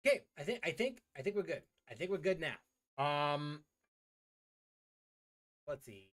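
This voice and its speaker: A man's voice: a little high, very animated, a little slow, fairly bright tone. A man chatting casually on a gaming livestream in a hesitant, apologetic way, often repeating his phrases. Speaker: game livestreamer. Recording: game music behind the voice. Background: video game music.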